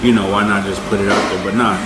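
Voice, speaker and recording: Low-voiced young American man, slangy and casual. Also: little pitch movement, fast talker, very noisy. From United States, grew up in Boston and Miami. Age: 20s. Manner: slangy, conversational, often tagging "you feel me". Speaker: vlogger, host. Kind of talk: casual chat, answering viewer questions. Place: indoors at a restaurant booth. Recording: roomy, echoey eatery sound.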